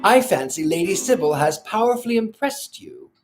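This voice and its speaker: A reader voicing a suave, sardonic gentleman who speaks in measured, ironic tones in a storybook reading. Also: mid-range voice, very animated, faint music behind, voice pushed up. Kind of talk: audiobook reading.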